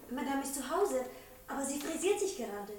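A suspicious, curt maid in an old radio comedy. Her high voice comes from well away from the microphone, with strong echo around it. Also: sing-song, fairly quick. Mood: curt, wary. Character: curt, suspicious housemaid guarding her madame's door. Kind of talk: 1960s German radio comedy acting. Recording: distant, echoey, noisy 1960s radio recording.

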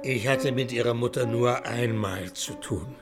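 An actor playing a guilt-ridden, evasive old folk musician in a radio drama, speaking haltingly. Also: male voice, medium pitch, lightly acted. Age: older. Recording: music behind the voice.

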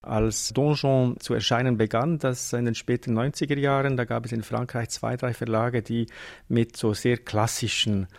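A man's voice: mid-range voice, little pitch movement, moderate pace, vocal fry. A man answering in an interview as an expert, explaining in long, built-up sentences.

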